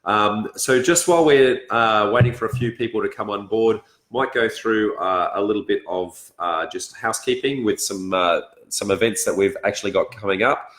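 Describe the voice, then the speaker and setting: An Australian man hosting a casual livestream, halting as he searches for words, with a raspy, congested voice from being ill. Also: on the low side, a bit bright. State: congested from illness, blocked nose. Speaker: host of a property education session. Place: indoors on a couch, echoey room. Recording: roomy, echoing sound.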